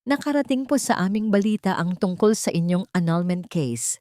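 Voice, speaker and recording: A woman's voice: mid-range voice, lively intonation, normal speed, mellow, no room sound, close to an everyday voice. A woman playing a polite but pointed tabloid reporter in a drama story, with a Filipino accent. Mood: probing politely.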